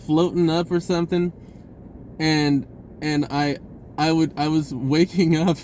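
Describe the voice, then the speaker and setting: A man telling a personal story in a casual, rambling, informal way with an animated, giggly delivery. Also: fairly high pitch, a little slow, a little hiss or hum.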